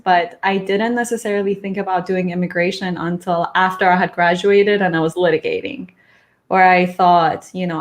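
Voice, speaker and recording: A young woman from Iran, an immigration lawyer, talking casually as an interview guest, laughing often and restarting her sentences. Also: mid-range voice.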